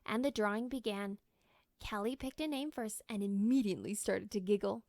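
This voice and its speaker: A woman reading a children's story aloud in an expressive, animated storytelling manner. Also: medium pitch, normal speed, dry recording, studio quality. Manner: animated, acts out character lines. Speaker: storybook reader.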